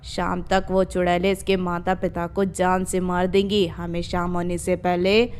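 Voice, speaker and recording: Woman as solemn sorceress, low register, grave, decisive, horror tale. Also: level tone, a little slow, a little mellow, clean tone, natural voice.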